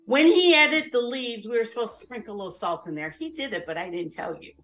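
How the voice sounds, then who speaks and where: A woman's voice: on the high side, animated, fast talker, a bit bright, soft background music. A woman in her fifties hosting a live cooking show from her home kitchen, chatting casually and explaining each step as she goes.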